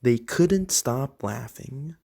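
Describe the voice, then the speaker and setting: A reader takes on a friendly moose from the enchanted woods, reading a children's storybook. The delivery is extremely unhurried, with lively rises and falls in a mid-range pitch. It speaks gently and directly to young listeners. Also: creaky, exaggerated voice. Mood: friendly, gentle. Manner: slow, deliberate, addressing children directly. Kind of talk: gentle scripted read-aloud for young children.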